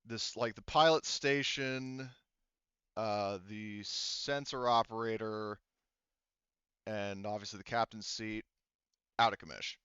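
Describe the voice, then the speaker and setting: A man acting as game master of a tabletop game, explaining rules casually in a halting, step-by-step way. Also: on the low side, fairly even intonation, sharp tone, clean tone, no echo, lo-fi sound.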